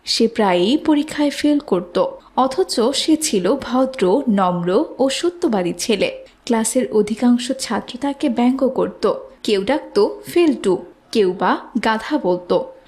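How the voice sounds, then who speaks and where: A woman narrator with a high voice tells a scripted children's story. She reads it as a moral tale. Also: animated, takes it slowly, a little mellow.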